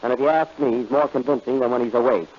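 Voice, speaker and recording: A man's voice: mid-range voice, fairly even intonation, quick pace. A man hosting a 1930s radio comedy show with a dry, wisecracking delivery. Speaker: comedian host. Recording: dull lo-fi old radio recording.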